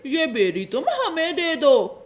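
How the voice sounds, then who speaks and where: A woman's voice: high voice, sing-song, full character voice. A woman voicing a greedy, bullying male crow in a cartoon, mocking and sneering as he talks. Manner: mocking, sneering, drawn-out taunts.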